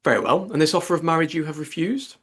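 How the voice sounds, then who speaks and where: A man voicing a calm, ironic middle-aged gentleman father in an audiobook, speaking formally with measured deadpan. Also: a little high, husky, voice pushed up. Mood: grave, authoritative.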